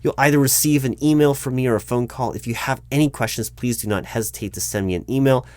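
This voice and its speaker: A man's voice: medium pitch, quick pace, darkish tone, no room sound, natural voice. A man playing a polite, awkwardly tactful corporate hiring manager in a one-sided interview roleplay, speaking aloud in a hesitant, stammering, diplomatic way. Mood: courteous. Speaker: recruiting manager conducting a job interview.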